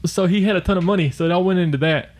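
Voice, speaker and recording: A man with a notably high voice co-hosts a casual podcast. He rambles through comic book trivia, laughing often and letting sentences trail off. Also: racing, darkish tone. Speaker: podcast co-host. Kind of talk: casual chat about comics.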